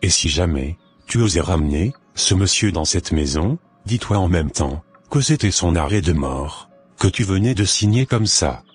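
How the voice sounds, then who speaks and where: A man voicing a deep, gravelly stern father. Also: animated, normal speed, soft background music. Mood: angry, stern. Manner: scolding, authoritarian. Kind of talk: scripted cartoon dialogue.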